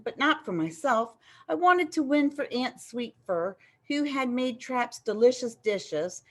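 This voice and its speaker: A woman reading a children's story aloud in an animated, dramatic way, with emphasis on key phrases. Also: on the high side. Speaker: storybook reader.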